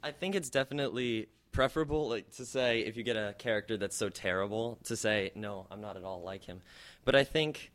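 A man's voice: fairly low pitch, fairly quick, hardly any pauses, a bit bright. Thoughtful teenage boy. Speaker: student actor. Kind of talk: interview answers.

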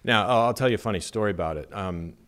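A middle-aged man from Las Vegas, an architect, talking in a measured, thoughtful way with many fillers in an interview. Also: on the low side, a bit bright. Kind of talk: relaxed conversational interview.

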